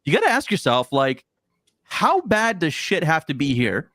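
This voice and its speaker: A man's voice: a little high, huge pitch swings, moderate pace, a bit bright, dry recording, clean studio sound. A man originally from Poland, a guest on a casual podcast, talking earnestly and emphatically, often repeating words as he starts a thought.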